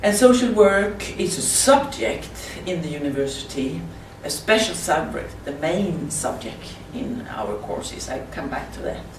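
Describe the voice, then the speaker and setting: A Swedish woman with a Swedish accent, speaking halting non-native English in a slide presentation at a conference. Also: low voice, lively intonation. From Sweden. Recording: roomy, distant mic with faint hiss.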